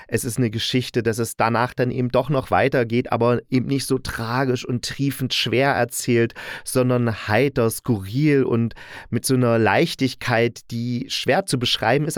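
A man gives an informal spoken review as a podcast host. His pitch is mid-range and the sound is dry and close. He talks chattily, often rephrasing himself. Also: no echo. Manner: chatty, self-correcting.

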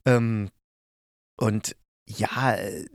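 A man's voice: on the low side, steady tone, extremely slow, no echo, studio quality. A man hosting a podcast, reviewing in a casual, loose and meandering way as if thinking aloud. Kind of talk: casual spoken radio-play review.

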